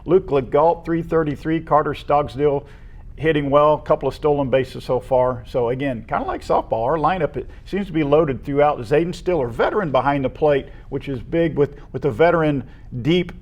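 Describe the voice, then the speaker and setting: An American man co-hosting a local high school sports show, casually running through names and statistics. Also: moderate pace, a little mellow, highs cut off.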